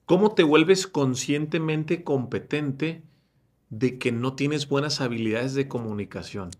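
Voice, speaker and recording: A man's voice: mid-range voice, unhurried, a little mellow. A man hosting a podcast, putting short questions to his guest and encouraging him along. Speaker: podcast host. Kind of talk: podcast interview.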